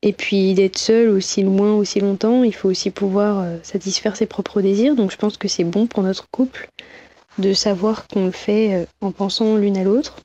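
A woman in her twenties giving a personal testimonial in a calm, poised, measured way. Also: mid-range voice, muffled recording. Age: 20s.

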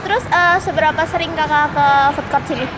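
A young woman with an Indonesian accent interviews shoppers in a busy mall food court, her questions polite and full of hesitant fillers. Her voice sits high, captured on a handheld phone. Loud crowd chatter and noise surround her. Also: steady tone, quick pace. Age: young adult. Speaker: student reporter. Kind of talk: street interviews. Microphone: phone held up to speakers.

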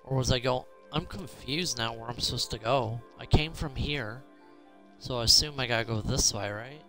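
A man's voice: medium pitch, moderate pace, fairly bright tone, soft background music. A man giving casual gameplay commentary, muttering to himself as he thinks aloud. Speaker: gamer hosting a roleplay video series.

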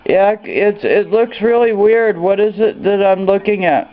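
A man's voice: high voice, unhurried, narrow, tinny audio. An adult man answering questions and asking his own in a phone conversation, recorded indoors at home on a handheld camera. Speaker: person answering a suspicious phone call.

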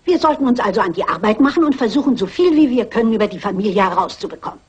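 An older actress playing a shrewd, stubborn elderly lady sleuth in a crime radio play, brisk, dignified and self-assured. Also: on the high side, animated, breathy edge, lo-fi sound. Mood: determined.

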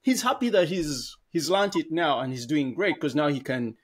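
A man's voice: on the high side, normal speed, slightly rough. A man in his thirties from Africa, co-hosting a podcast over a video call on a headset, telling a story in a rambling, self-correcting way with frequent laughs. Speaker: podcast co-host. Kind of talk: casual conversational storytelling. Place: small room at home. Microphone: over-ear headset on a video call.

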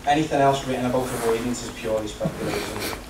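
A man's voice: medium pitch, steady tone, fast talker. A man serving as councillor, making a formal prepared debate speech in a large council chamber. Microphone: recorded from the back of the room. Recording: distant, echoey, noisy council chamber recording.

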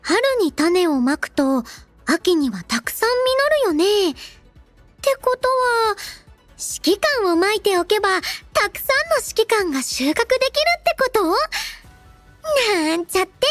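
A woman voicing a cheerful, devoted robot girl in an anime game, childlike and playful, with a cutesy, expressive manner. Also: extremely high pitch, a little slow, no room sound, faint music behind, exaggerated voice. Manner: cutesy, refers to herself in third person, expressive. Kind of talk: Japanese anime game character voice lines.

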